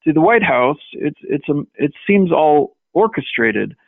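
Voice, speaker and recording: A man's voice: a little high, sing-song, measured pace, creaky, no room sound. A man talking in a hesitant, rambling way and often backtracking during a casual group discussion. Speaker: open mic participant. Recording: tinny phone-like line, dry.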